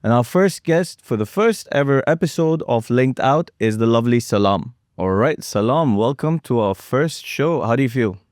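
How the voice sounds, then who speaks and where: A man's voice: medium pitch, lively intonation, moderate pace, hardly any pauses, a little mellow, no room sound, studio quality. A man hosting a business talk show, interviewing his guest in a friendly, jokey, upbeat way. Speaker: host. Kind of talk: casual interview.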